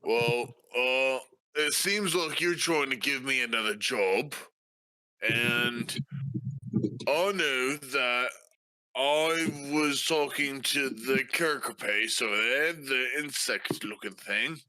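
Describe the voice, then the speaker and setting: Male tabletop player, very forceful bright tone, slow halting speech, dry sound. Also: mid-range voice, rough recording. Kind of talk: improvised in-character role-play and table chat.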